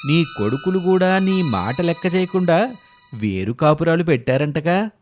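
A man voicing a village elder who mockingly taunts an old friend in a drawn-out, jeering way. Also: on the high side, sing-song, soft background music, pitched above the performer's own voice.

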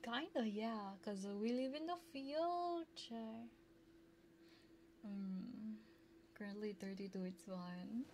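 A soft-spoken, playful woman chatting casually with viewers on an ASMR livestream, close to a binaural microphone. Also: medium pitch, takes it slowly. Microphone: close binaural ear microphone. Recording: clear background noise.

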